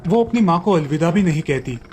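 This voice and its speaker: A man narrating a moral story for a cartoon in a steady storytelling manner. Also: fairly high pitch, brisk, a little hiss or hum.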